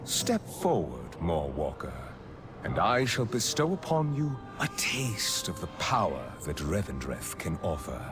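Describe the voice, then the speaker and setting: Slow, swooping, pompous vampire lord. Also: male voice, sits low, huge pitch swings, full character voice. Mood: grandly courteous. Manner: pompous, silky, measured, theatrical. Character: grandiose, manipulative aristocratic vampire master of a gothic realm. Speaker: ruler addressing subjects. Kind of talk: theatrical video game villain acting. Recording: dramatic orchestral music behind.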